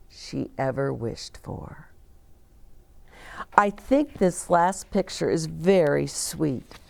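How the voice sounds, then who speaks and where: A woman in her sixties reads to children as a storyteller. Her voice is fairly low and creaky, with very animated pitch. She leaves dramatic pauses and hushes key words. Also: dry recording. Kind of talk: expressive picture-book read-aloud. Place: small decorated indoor set, seated.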